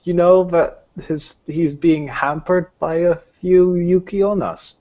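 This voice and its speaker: A man running a tabletop game as game master, improvising narration haltingly as he searches for words. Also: high-pitched, steady tone. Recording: dull, lo-fi voice recording.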